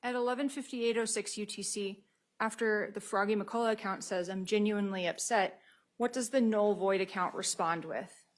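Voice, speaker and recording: A woman's voice: medium pitch, normal speed. A woman working as an attorney, questioning a witness in court in a methodical, procedural way.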